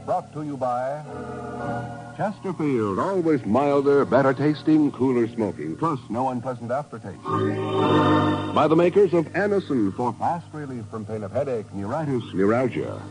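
A man's voice: mid-range voice, big pitch swings, measured pace, over music, low-quality recording. Polished old radio ad guy, punchy announcer delivery, fifties broadcast sound. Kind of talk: 1950s radio commercial announcing. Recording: vintage 1950s radio broadcast.

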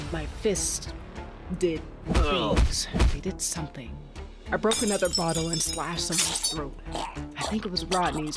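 An actress playing Tasha, a tough, rage-driven woman cage fighter, narrating in the first person in an audio drama with a brooding, dramatic delivery. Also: low-pitched. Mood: grim, hazy.

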